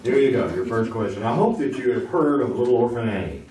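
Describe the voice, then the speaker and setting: A man's voice: medium pitch, fast, mellow. A man hosting a quiz show with joking, slightly bumbling comic banter. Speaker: game show host. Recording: very noisy, echoey, distant stage microphone.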